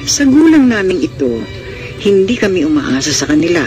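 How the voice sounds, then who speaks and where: A performer playing a modest, proud Filipino wife of sixty in a classic radio drama, earnest and measured. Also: medium pitch, sing-song, a little mellow, soft background music, natural voice. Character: modest, proud sixty-year-old provincial wife and mother.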